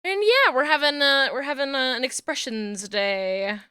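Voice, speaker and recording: High, bright-voiced New Jersey woman. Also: moderate pace, clean tone, dry recording, clean studio sound. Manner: soft murmuring while working, bursting into loud squealing greetings. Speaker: VTuber streamer rigging a model. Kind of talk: casual live-stream talk while working.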